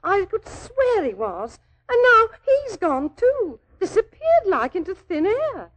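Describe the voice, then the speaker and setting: A woman's voice: very high-pitched, wildly swooping pitch, takes it slowly, fairly bright tone, exaggerated voice, rough recording. A woman playing a naive, deferential young working-class London typist in love, with an English accent, earnest and hesitant in 1940s radio drama style. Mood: anxious, wistful. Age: young adult. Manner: earnest, chatty, hesitant, ungrammatical.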